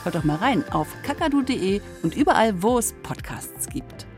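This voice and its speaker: A woman's voice: medium pitch, very animated, moderate pace, over music. A woman presenting a scripted, informal promo for children's radio.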